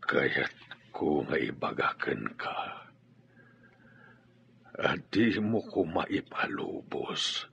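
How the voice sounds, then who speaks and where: A man's voice: extremely low pitch, big pitch swings, drawn-out words, vocal fry, pauses often, a bit bright, breathy edge, muffled recording, heavily acted. A man playing a frail, ailing old father in a radio drama, tender, with a weak, whispery voice and labored delivery. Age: older. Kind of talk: Filipino radio drama acting.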